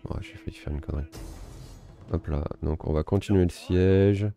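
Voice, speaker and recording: Deep gravelly-voiced gamer. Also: male voice, normal speed, soft background music. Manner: relaxed, self-mocking, thinking aloud. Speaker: gaming video host. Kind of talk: casual let's-play commentary.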